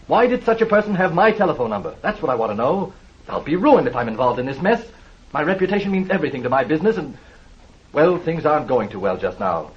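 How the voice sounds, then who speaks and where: A man's voice: on the high side, very animated, fast talker. An actor playing an anxious, pleading businessman in an old radio drama, agitated and worried about himself. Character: fretful, anxious businessman guarding his reputation. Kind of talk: 1940s-50s radio drama acting. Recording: dull vintage radio recording.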